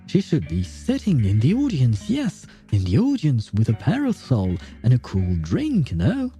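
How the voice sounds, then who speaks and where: A man reading as a mocking, sarcastic, mellow-voiced coach. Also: mid-range voice, wildly swooping pitch, a little slow, faint music behind. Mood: scornful, sarcastic. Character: scornful older coach, sarcastic and cutting.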